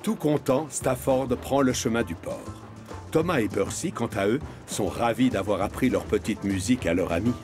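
A man's voice: on the low side, normal speed, music in the background. A man narrating a scripted children's cartoon story.

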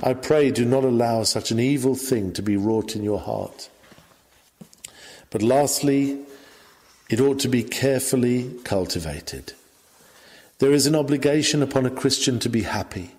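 A man reading an old sermon aloud in a measured, emphatic preaching delivery. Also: medium pitch, a little mellow. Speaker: reader of a historical sermon. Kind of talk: sermon reading, scripted oratory. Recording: long hall-like reverb.

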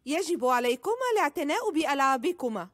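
A woman voicing a kind, patient mother pig in a dubbed cartoon, gentle. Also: sits high, animated, quick pace, heavy background noise. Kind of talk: Arabic cartoon dub.

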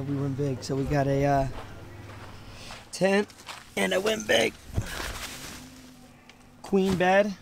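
A man chatting casually and enthusiastically to viewers as an informal vlog host, recorded outdoors. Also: on the high side. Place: outdoors at a forest campsite. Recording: clear outdoor ambient and vehicle noise.

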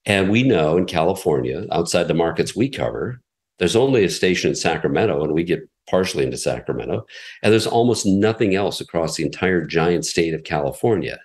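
A middle-aged man, a radio executive, answering interview questions in a relaxed, explanatory way, often repeating words as he thinks. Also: deep voice, a little fast. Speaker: radio station president, guest.

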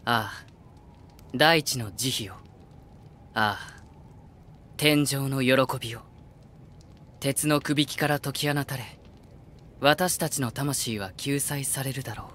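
Solemn prophecy narrator. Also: male voice, mid-range voice, slow, pauses often, fairly bright tone, slight background noise. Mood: solemn, reverent. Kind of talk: scripted storybook narration in video game.

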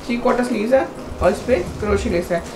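A middle-aged woman giving a casual, talkative sales pitch, mixing in English words. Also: fast talker. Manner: talkative, repeats praise and points out details, mixes in English words. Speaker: clothing shop seller. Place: small indoor shop room with piled clothes. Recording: noisy room with background ambience.

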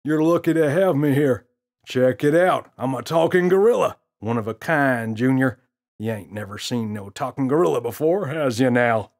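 A man's voice: medium pitch, animated, normal speed, a little mellow, voice pushed up, close to an everyday voice. A man voicing a cocky, mischievous talking gorilla with a slangy, teasing, swaggering delivery.

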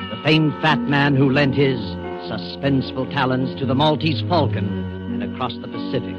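An actor playing a mysterious, suave host of suspense tales, measured and dramatic in 1940s radio style. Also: male voice, medium pitch, natural voice. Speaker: host, announcer. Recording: dull 1940s radio recording with loud music.

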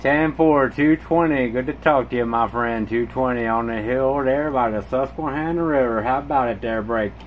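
A man's voice: mid-range voice, moderate pace, hardly any pauses. A man working as a CB radio operator, making friendly, repetitive call-sign calls in radio slang. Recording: noisy radio static in the room. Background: CB radio static.

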